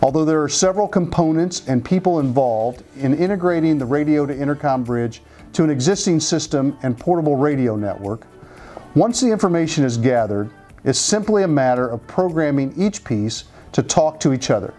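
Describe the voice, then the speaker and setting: Mellow American man presenting, music behind. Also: fairly high pitch, lively intonation. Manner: measured, businesslike explaining. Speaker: company spokesperson. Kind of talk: scripted product presentation. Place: office conference room, indoors. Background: background music.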